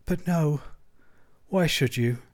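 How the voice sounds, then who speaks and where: A man's voice: on the high side, takes it slowly, voice pushed up. A languid, self-pitying toad from a storybook, acted by a man. He murmurs feebly and draws out his words like a martyr on his deathbed. The voice is weak and sorry for itself. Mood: sad, wheedling. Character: languid, self-pitying male toad feigning mortal illness. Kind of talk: storybook character acting.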